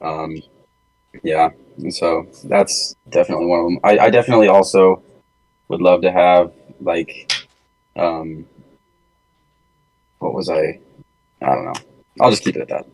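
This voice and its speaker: A man's voice: low voice, a little slow, long pauses, poor audio. A young American man chatting casually as a podcast co-host, with an informal, slangy, bro-ish way of talking. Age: young adult. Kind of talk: casual chat.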